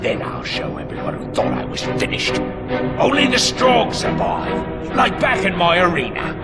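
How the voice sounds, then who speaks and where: An actor playing a pompous, scheming villain in a video game, with a theatrical, gravelly delivery. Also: male voice, high-pitched, huge pitch swings, normal speed. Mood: defiant, boastful. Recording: loud music under the voice.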